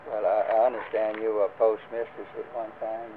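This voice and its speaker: Older man, unhurried church announcements, halting with fillers, tinny phone-like sound, faint hiss. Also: on the low side, little pitch movement. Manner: rambling, many fillers and restarts. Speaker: church class leader. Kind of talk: casual talk to a church group.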